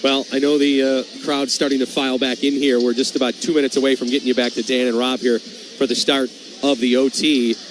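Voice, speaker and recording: A man's voice: mid-range voice, flat delivery, brisk, over music, low-quality recording. A man hosting a live radio intermission show during a hockey game, broadcasting from the arena.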